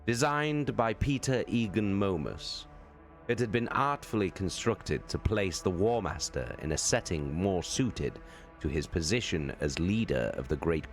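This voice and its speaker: Very deep-voiced man reading slowly. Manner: slow, deliberate, drawn-out phrasing. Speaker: streamer reading aloud. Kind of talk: dramatic audiobook reading. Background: faint background music.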